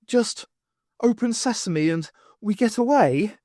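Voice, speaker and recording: A man's voice: high-pitched, animated, takes it slowly, darkish tone, no room sound, studio quality, pitched above the performer's own voice. A man voicing a pompous, flustered, self-important male artist in an audiobook, with wordy pleading. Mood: breathless, puzzled. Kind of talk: audiobook character voice.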